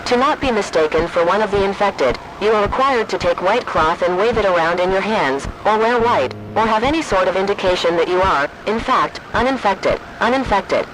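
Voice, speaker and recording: High-pitched man as emergency alert announcer, noisy. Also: animated, a little fast, few pauses. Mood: official, grave. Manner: formal, official, stilted. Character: impersonal emergency alert system voice announcing a zombie apocalypse. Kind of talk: scripted emergency broadcast announcement.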